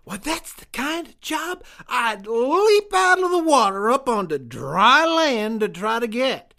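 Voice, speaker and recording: A man voicing an eager, gullible cartoon townfish who loves food, with a folksy, excitable way of talking. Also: extremely high pitch, slow, exaggerated voice. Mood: delighted. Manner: folksy, excitable, chuckling nervously or gleefully. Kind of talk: cartoon audio drama acting.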